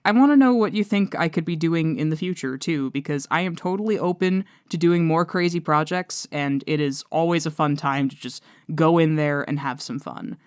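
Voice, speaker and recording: A woman's voice: low-pitched, no echo, studio quality. A woman giving a casual, chatty thank-you outro to an audience in an enthusiastic, gushing, informal way. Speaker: writer and voice actor thanking audience.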